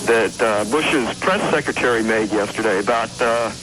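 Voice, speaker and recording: A man calling in to a radio show by phone, chatting casually in a hesitant, halting way. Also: mid-range voice. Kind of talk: casual call-in chat. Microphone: telephone. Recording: noisy phone call on AM radio.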